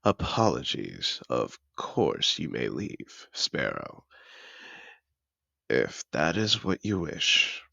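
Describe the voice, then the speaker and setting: Man acting a very deep, gravelly aristocratic dragon seducer. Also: wildly swooping pitch, takes it slowly, pushed and bright, no echo, full character voice. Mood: flustered, apologetic. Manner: refined, effusive, theatrical phrasing. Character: wealthy cultured male dragon in human disguise, possessive, effusive seducer. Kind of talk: scripted audio roleplay addressed to the listener.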